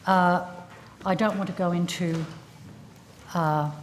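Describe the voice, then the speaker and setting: A woman, a senior public servant, giving formal testimony in a measured, guarded and hesitant manner. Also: on the low side, steady tone, slight background noise.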